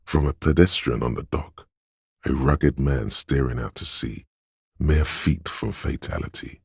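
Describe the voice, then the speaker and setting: An actor voicing a slick, flashy hustler as a video game inner voice, with a very deep gravelly voice and a smooth-talking, theatrical, cocksure manner. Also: male voice, a little slow, darkish tone, no room sound, clean studio sound, heavily acted. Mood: observant, measured.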